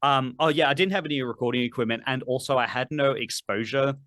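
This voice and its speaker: A man's voice: medium pitch, quick pace, fairly bright tone, dry recording, clean studio sound. A man in his thirties, a metal vocalist guest on a podcast, chatting casually in a rambling way with lots of fillers and casual swearing. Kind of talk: casual interview chat.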